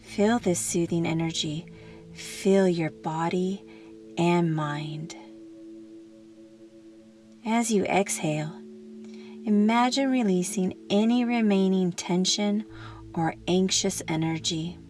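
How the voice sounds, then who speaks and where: Slow, soothing woman guiding meditation. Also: on the low side, faint music behind. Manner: gentle, soothing, unhurried instruction with long pauses. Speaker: meditation guide. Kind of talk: guided meditation narration.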